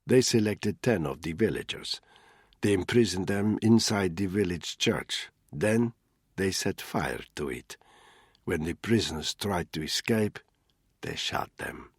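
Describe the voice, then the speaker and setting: A male narrator voicing a menacing middle-aged cartel boss who talks in a cold, hoarse near-whisper. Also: deep voice, a little slow, no room sound, studio quality, exaggerated voice. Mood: flat cruelty. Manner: cold, quiet, deadpan threats.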